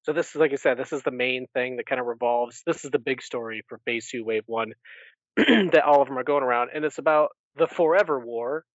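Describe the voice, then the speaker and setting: A man's voice: medium pitch, brisk, dry recording, highs cut off. A man co-hosting a podcast, chatting casually through a book recap in a rambling way and often correcting himself. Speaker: podcast co-host.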